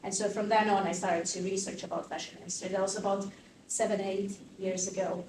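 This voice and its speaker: A woman from Croatia in her thirties, a fashion activist answering interview questions in an animated, thinking-aloud way with many fillers. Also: medium pitch, fairly quick. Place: conference venue. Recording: distant mic in an echoey room with faint noise.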